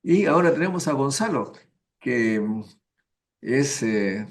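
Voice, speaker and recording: A man's voice: medium pitch, lively intonation, takes it slowly, slight background noise. A man from Peru hosting a science interview program, chatty and friendly with his guest. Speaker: host.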